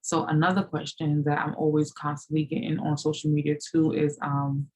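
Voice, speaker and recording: A woman's voice: low voice, level tone, moderate pace, darkish tone. A woman in her late thirties answering viewer questions in a loose, rambling chat, full of "you know" and "like". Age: 30s. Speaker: fitness vlogger. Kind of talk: casual Q&A chat.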